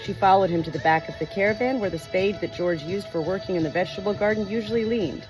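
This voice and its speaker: A woman reading a story aloud as an audiobook narrator, expressive and measured, with deliberate pauses. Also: mid-range voice, music in the background, rough recording. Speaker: audiobook reader.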